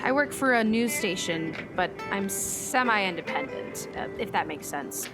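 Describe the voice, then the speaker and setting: A woman's voice: medium pitch, animated, unhurried, over music, natural voice, rough recording. A woman playing an earnest, caring meteorologist in a naturalistic radio play, conversational and thoughtful. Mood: explanatory.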